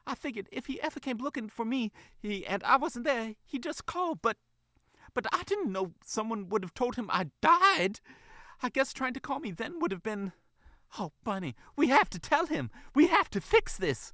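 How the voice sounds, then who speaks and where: A man's voice: very high-pitched, big pitch swings, normal speed, pushed and bright, dry recording, studio quality, pitched above the performer's own voice, heavily acted. A man voicing a flustered, emotional older mother fox in a storybook, gushing with folksy endearments. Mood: distraught, pleading.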